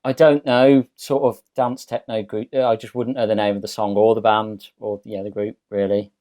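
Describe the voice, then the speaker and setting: A man taking part in a relaxed podcast quiz, speaking at a mid pitch in an everyday voice. He gropes for answers, stumbling and restarting his sentences, and laughs easily along the way. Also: moderate pace. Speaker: quiz contestant. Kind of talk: casual podcast chat.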